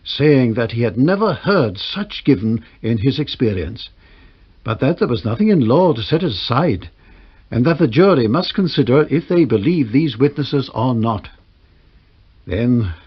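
A sober court chronicler in a radio drama. His medium-pitched voice is husky, the delivery measured and formal, the recording muffled. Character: sober male court chronicler narrating a trial. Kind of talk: scripted radio drama narration. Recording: dull vintage radio recording.

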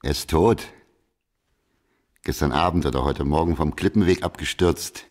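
An actor playing a dry, weary, wry private detective in a German radio crime drama, talking in terse, laconic lines. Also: male voice, extremely low pitch, moderate pace, a little mellow. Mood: brisk, matter-of-fact. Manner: laconic, terse, sardonic asides.

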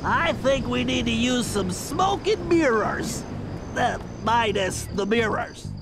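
A man's voice: extremely high pitch, expressive, slow, creaky, heavily acted. A man playing a brainy, earnest cartoon turtle tech planner in a video game, with a precise, fussy manner. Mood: urgent planning. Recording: music behind the voice.

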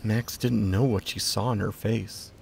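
A man narrates scripted fiction in a low voice. It is heavily gravelled with creak, and a faint ambience sits behind it. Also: steady tone, a little slow, slight background noise.

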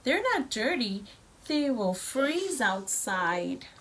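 A woman's voice: a little high, very animated, takes it slowly, a little hiss or hum, voice pushed up, exaggerated voice. A woman voicing a kind young heroine in a picture book, lifting her voice sweetly. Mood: alarmed. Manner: bright, sweet storybook voice, lifted above her own.

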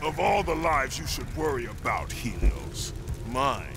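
A gravelly vengeful warrior god. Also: male voice, medium pitch, sing-song, a little slow, background noise. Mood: menacing. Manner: menacing, cold. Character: wrathful hulking male demigod warrior. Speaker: game character.

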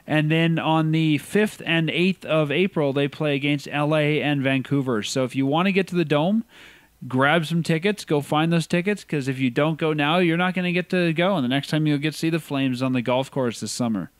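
A man's voice: on the high side, normal speed, no room sound. A man hosting a casual sports podcast, chatting in an upbeat way about hockey. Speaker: podcast host.

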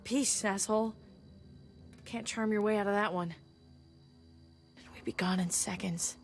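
A woman's voice: mid-range voice, fairly even intonation, unhurried, lightly acted. An actress playing a world-weary, sardonic woman in her thirties surviving a zombie apocalypse in a video game, blunt and wry. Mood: worried, bleak. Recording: faint music underneath.

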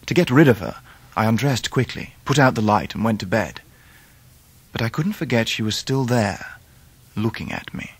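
An actor playing a grown English gentleman who narrates his own youth in a radio drama. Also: medium pitch, lively intonation, normal speed, slightly rough, no room sound. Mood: reflective.

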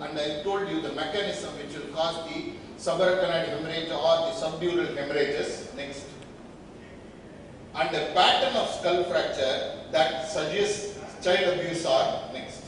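An older man with an Indian accent gives a medical slide lecture, listing points in short, halting phrases. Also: a little high. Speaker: radiologist giving a medical lecture. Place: indoor meeting hall at a podium. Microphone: distant camera microphone. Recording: echoey hall from far off, noisy.

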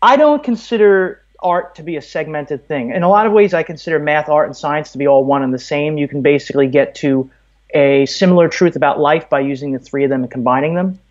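A man's voice: on the high side, fairly quick, a little mellow, highs cut off. A man from Brooklyn, telling stories conversationally as a podcast guest. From Brooklyn, New York, USA. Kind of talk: conversational interview, storytelling.

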